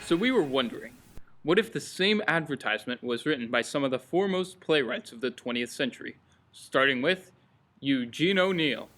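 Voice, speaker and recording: A man's voice: mid-range voice, lively intonation, normal speed, a bit bright. A man narrating a scripted radio programme as its announcer. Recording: music behind the voice. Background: music.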